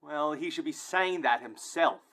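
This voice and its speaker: A man's voice: fairly high pitch, a little slow, a bit bright. A man playing a stubborn, impatient airport security guard in an audio play, curt and demanding. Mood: impatient, annoyed. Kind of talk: amateur audio play acting.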